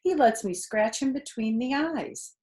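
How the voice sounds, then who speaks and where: A woman voicing an excitable, mischievous young farm boy in a boisterous storybook reading. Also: a little high, unhurried, pitched above the performer's own voice, lightly acted. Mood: excited. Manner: boisterous, yelling.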